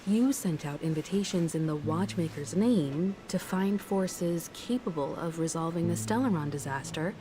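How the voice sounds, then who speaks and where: An actress playing a composed, perceptive woman in a video game, speaking in a deliberate, analytical way over music. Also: lowish voice, noisy background, lightly acted. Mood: composed, probing. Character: composed, perceptive woman deducing hidden truths. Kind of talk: scripted video game dialogue.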